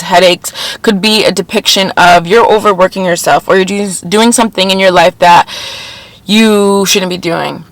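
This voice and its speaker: A woman's voice: fairly low pitch, dry recording, rough recording. Young woman podcast host, slightly congested and nasal, earnest self-help talker, close and dry. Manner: earnest, repeats phrases, spiritual wording. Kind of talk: casual spiritual self-help chat.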